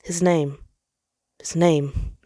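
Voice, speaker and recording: Low-voiced woman narrating a story, dry sound. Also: fairly even intonation, very slow, dark tone, no room sound. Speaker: fan fiction reader. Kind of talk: dramatic scripted story narration.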